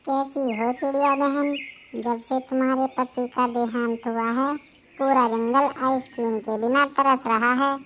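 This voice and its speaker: A woman voices a supportive cartoon bird neighbour, friendly and reassuring. The voice is high and slow, with faint chirping around it. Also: level tone, fairly bright tone, slight background noise. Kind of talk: Hindi children's cartoon voice acting.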